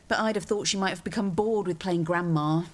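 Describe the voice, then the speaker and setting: An actress playing a good-humoured, self-reliant young pub cook in a naturalistic radio soap. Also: female voice, fairly low pitch, brisk, close to an everyday voice. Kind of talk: naturalistic radio drama acting.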